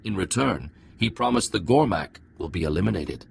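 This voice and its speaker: An actor playing an aloof, solemn alien ruler in a video game, giving stately, oracular pronouncements. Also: male voice, deep voice, lively intonation, normal speed, gravelly, a little hiss or hum, heavily acted. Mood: solemn, cryptic. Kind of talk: dramatic video game acting.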